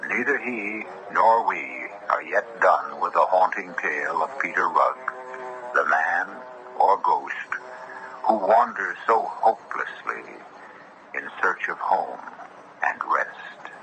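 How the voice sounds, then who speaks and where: A man hosting and narrating a radio mystery drama in a measured, solemn, theatrical manner. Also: sits low, expressive, bright, forceful tone, exaggerated voice. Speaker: narrator and host. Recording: noisy vintage radio broadcast.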